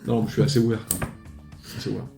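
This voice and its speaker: A man's voice: lowish voice, steady tone, racing, creaky, dark tone, reverberant, faint music behind. A man in his forties from Paris, a musician guest talking casually with many hesitations and restarts. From Paris, France. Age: 40s. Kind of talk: casual interview chat.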